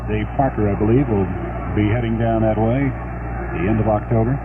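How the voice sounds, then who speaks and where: A man's voice: fairly low pitch, fairly even intonation, a little fast, narrow, tinny audio. Man on a noisy vintage baseball radio broadcast. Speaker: baseball play-by-play announcer. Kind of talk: live 1970s radio baseball commentary. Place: stadium broadcast booth.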